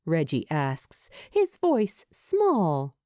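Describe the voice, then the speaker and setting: A woman reading a scripted story aloud in an expressive, deliberate storytelling style. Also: low voice, dry recording, clean studio sound. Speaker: fan fiction podfic narrator.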